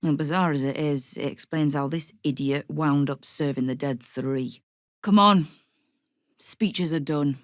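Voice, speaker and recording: Casting: tough thieves' guild boss for a game, female, low pitch, wry, controlled menace, dry close recording. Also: unhurried, no echo. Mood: wry, measured, then steely, challenging. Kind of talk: video game character dialogue.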